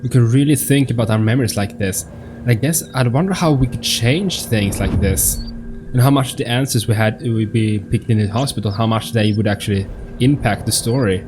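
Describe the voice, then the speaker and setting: A man plays a game and comments casually as he goes. His voice is medium in pitch, and he thinks aloud, often repeating himself. There is faint background noise. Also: moderate pace. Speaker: gaming YouTuber.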